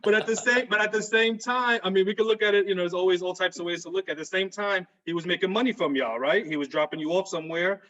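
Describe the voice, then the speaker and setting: A quick-talking man hosting a casual interview, his voice a bit higher than most men's. He hedges and restarts his sentences, and the recording sounds dull and lo-fi. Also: steady tone, sharp tone. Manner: quick, hedging, repeats his openings. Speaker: host.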